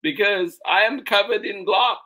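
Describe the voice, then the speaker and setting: A man's voice: sits high, big pitch swings, measured pace, bright, forceful tone, breathy edge, pitched above the performer's own voice, exaggerated voice, rough recording. A man voicing a curious, grumbling young child in a storybook, plaintive and questioning. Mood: grumpy.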